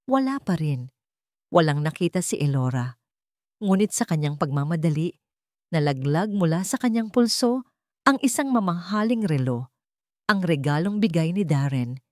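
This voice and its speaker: A woman's voice: on the low side, darkish tone, no room sound, clean studio sound. A woman narrating a scripted dramatic romance story as an audio storyteller.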